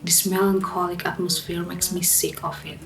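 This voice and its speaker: A woman's voice: lowish voice, little pitch movement, creaky. A woman playing a melancholic young woman haunted by her past, speaking a brooding, dramatic radio drama monologue. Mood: sorrowful, bitter. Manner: slow, brooding, dramatic delivery.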